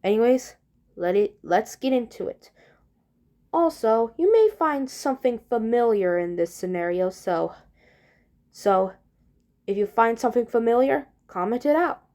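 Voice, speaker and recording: Slow-talking woman with a mellow voice. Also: a little high, expressive. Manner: informal, addressing viewers directly. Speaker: video creator introducing own scenario. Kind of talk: casual video intro and commentary.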